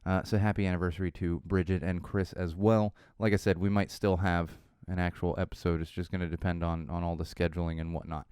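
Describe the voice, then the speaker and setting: A man in his thirties from Southern California, hosting a casual solo podcast, a bit hoarse, rambling and self-deprecating, with lots of fillers and restarts. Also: low-pitched, flat delivery, brisk, hardly any pauses, a little mellow, no room sound, clean studio sound. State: slightly hoarse. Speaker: podcast host.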